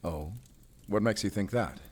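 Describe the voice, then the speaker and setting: A man's voice: fairly low pitch, measured pace, a little mellow, breathy edge. A man playing a stern, guarded werewolf hunter, terse and commanding, in an audio drama. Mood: questioning, guarded. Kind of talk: cinematic audio roleplay acting.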